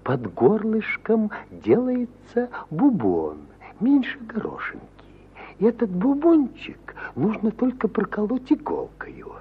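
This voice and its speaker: An actor playing a garrulous, hospitable provincial judge who is easily astonished, in a husky voice, in 1950s Soviet radio theatre style. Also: male voice, huge pitch swings, a little hiss or hum, heavily acted. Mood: rambling, chatty. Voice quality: husky, high-pitched. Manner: rambling, drawn-out, swooping exclamations. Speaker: actor in an audio play. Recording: muffled 1950 radio play recording.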